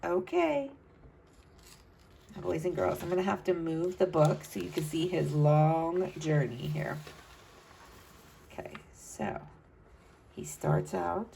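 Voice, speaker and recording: A woman reading a picture book aloud to children, animated and often speaking to them directly. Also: on the low side, slow, creaky, long pauses, slight background noise, low-quality recording. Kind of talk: expressive read-aloud storytelling with asides to young listeners.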